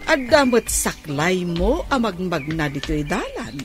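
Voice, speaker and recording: A woman's voice: on the low side, big pitch swings, unhurried. A woman playing a nosy, easily startled auntie in an Ilocano radio drama, with faint music and effects behind. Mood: alarmed, curious.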